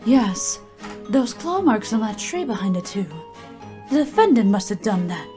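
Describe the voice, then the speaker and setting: A woman's voice: mid-range voice, big pitch swings, normal speed, music in the background, close to an everyday voice. A woman playing a firm, assured witness in a courtroom audio drama, articulate and insistent.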